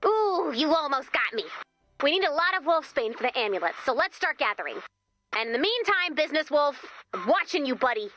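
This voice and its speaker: A woman's voice: sits high, sing-song, brisk, pushed and bright, dry recording, dull audio, soft background music, heavily acted. A woman voicing a perky, sassy robot assistant with sarcastic, quippy delivery in a video game. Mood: playful. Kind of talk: video game character voice lines.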